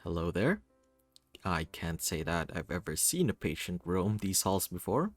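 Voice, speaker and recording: A composed hospital doctor, acted by a man with a fairly low voice. He is welcoming and professional, a visual novel love interest speaking calmly over music. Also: measured pace, no echo, full character voice. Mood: friendly, relaxed. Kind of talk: visual novel character reading.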